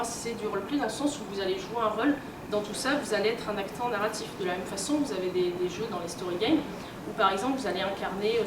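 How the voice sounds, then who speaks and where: Woman, conference speaker, hesitant and conversational, mid pitch, recorded far off in a noisy room. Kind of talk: informal lecture to an audience. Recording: echoey room, distant mic, clear noise.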